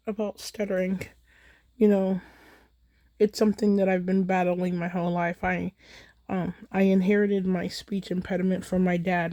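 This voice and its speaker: Unhurried, mellow-voiced American woman chatting. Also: on the low side, no echo. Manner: chatty, relaxed rambling. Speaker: craft livestream host. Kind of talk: casual chat while crafting. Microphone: phone in a holder.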